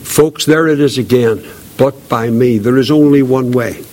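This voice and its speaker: A man's voice: mid-range voice, a little slow, a little mellow. A man delivering an evangelical sermon as a preacher, earnest and solemn, repeating key phrases for emphasis.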